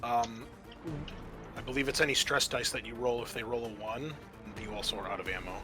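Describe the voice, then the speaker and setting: A man narrating a tabletop roleplaying game as game master, speaking haltingly with pauses mid-sentence. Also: medium pitch, fairly even intonation. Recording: music behind the voice. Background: background music.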